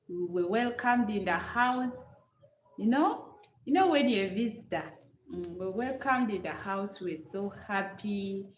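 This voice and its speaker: A woman's voice: mid-range voice, reverberant, slight background noise, rough recording. A middle-aged Ugandan woman chatting live to her viewers from her room, repeating phrases and answering comments as she tells a parenting story. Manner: chatty, repeats herself, addresses viewers as guys. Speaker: vlogger. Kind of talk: live-stream storytelling with chat replies.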